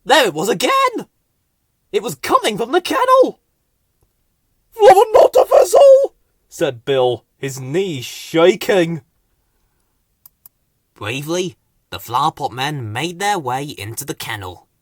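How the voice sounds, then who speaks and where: A man reading a children's story as narrator, in a dramatic, expressive scripted delivery. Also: on the high side, unhurried, pauses often, breathy edge, dry recording, clean studio sound.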